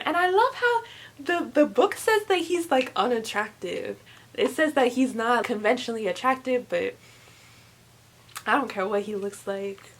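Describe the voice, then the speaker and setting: Expressive young woman gushing about favorites. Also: fairly high pitch. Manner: gushing, emphatic, repeats words for emphasis. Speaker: book reviewer vlogger. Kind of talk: casual chat to camera.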